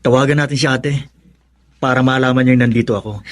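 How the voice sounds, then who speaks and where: An actor playing a cheerful young cousin in a Tagalog radio drama. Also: male voice, mid-range voice, fairly even intonation, fast, natural voice. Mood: eager. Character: cheerful young male cousin home from abroad.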